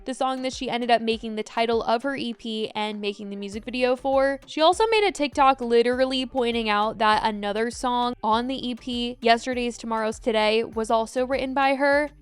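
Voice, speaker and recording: A young woman hosting a scripted celebrity gossip recap in a brisk, chatty way. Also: on the high side, clean tone, no echo. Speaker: host.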